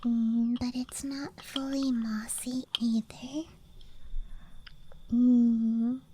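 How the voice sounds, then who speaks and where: A young woman doing ASMR in a breathy whisper, musing in a hushed, childlike way, close to the microphone. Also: fairly high pitch, flat delivery, extremely slow, slight background noise. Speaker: ASMR streamer playing a dice goblin persona. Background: night-time campground ambience.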